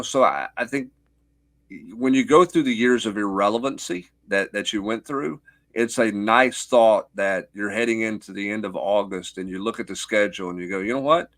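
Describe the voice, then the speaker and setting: Middle-aged male sports analyst, lowish voice, explanatory and off the cuff, dry studio-tight sound. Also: fairly bright tone, no echo. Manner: explanatory, punctuated with rhetorical questions and check-in tags. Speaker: sports journalist guest.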